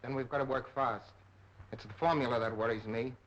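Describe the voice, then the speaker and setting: A man playing a menacing, commanding spy ringleader who scolds underlings in a deliberate manner, in a 1930s film. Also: mid-range voice, fairly even intonation, a bit bright, natural voice. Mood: reproachful. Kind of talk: 1930s film villain acting. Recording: muffled old 1930s film soundtrack.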